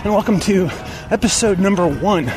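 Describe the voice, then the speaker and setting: A man in his fifties hosting a personal podcast monologue, speaking deliberately in short phrases with pauses. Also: loud music behind the voice. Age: 50s. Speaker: podcast host. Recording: noisy outdoor recording with traffic rumble.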